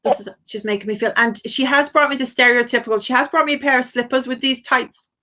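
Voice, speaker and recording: A woman's voice: sits high, fairly even intonation, fast, pushed and bright. A British woman hosting a live phone-in as a psychic medium, chatting casually through a reading and often checking that the caller understands.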